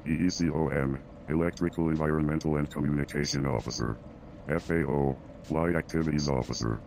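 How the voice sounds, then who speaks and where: A man's voice: rumbling low, steady tone, a little fast, creaky, darkish tone, a little hiss or hum. A man playing a clipped, formal announcer reading out technical titles in a radio play. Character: gravelly male announcer spelling out mission-control jargon.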